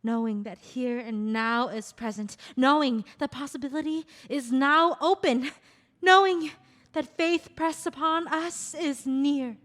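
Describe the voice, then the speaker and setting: Table read: actress as poetic Khmer dancer, higher-pitched, very unhurried, measured phrasing. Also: female voice, steady tone, a bit bright. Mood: lyrical, dreamy. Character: graceful, intelligent female Khmer court dancer, poetic. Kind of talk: stage play table read.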